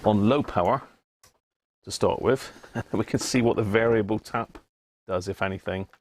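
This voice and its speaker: A man's voice: fairly low pitch, normal speed. A man hosting a casual hands-on electronics livestream, muttering his way through each step as he works. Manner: muttering step by step, thinking aloud. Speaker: livestream host demonstrating radio repair. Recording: clear background noise with clicks.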